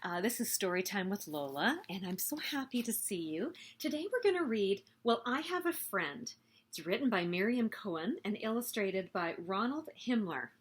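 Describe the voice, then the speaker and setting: A woman hosting a story time, reading a picture book aloud in an expressive, animated way meant for young children. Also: medium pitch, normal speed, slightly rough.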